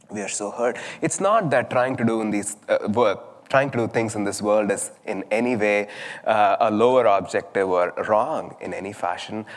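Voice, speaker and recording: A man from southern India, a Tamil speaker, giving a thoughtful, story-driven spiritual talk with frequent fillers. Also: medium pitch. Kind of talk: reflective sermon talk. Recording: long hall reverb.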